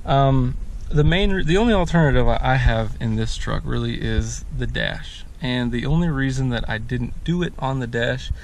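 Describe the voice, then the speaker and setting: A man's voice: mid-range voice, normal speed. An American man in his thirties chatting casually and laid-back, rambling as he explains things like a vlogger. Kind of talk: casual chat, explaining a DIY install. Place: in and beside a parked pickup truck. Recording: clear vehicle and outdoor ambient noise.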